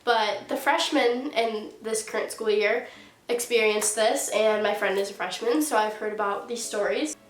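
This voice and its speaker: A woman's voice: mid-range voice, normal speed. A young woman in her late teens with a stuffy, congested nose, casually telling a storytime vlog and saying "like" often. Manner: chatty, heavy use of "like". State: stuffy nose from a sinus infection. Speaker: YouTuber. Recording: roomy echo.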